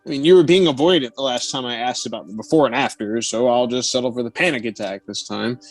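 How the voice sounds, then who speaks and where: Casting: male actor for a prying, needling friend figure in an audio drama, mid-pitched, conversational, quietly mocking. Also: fast, dry recording, soft background music, close to an everyday voice. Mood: pressing, impatient. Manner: needling, casually judgmental. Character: probing, mocking inner voice posing as a friend.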